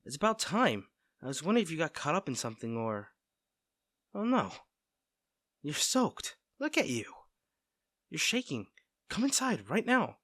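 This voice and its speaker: A man playing a caring, teasing, protective best friend in a soft-spoken, conversational roleplay audio drama. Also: on the high side, big pitch swings, moderate pace, pauses often, no echo. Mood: alarmed concern. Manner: fussing, tender, conversational.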